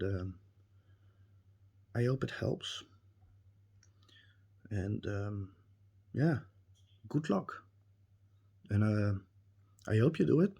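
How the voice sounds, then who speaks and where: A Dutch-accented man talks slowly through a personal review, pausing often with ums and restarts. His voice sits low and soft, with a husky edge. He turns earnest and emphatic when he makes a point. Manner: hesitant, many ums and restarts. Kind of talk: spoken testimonial.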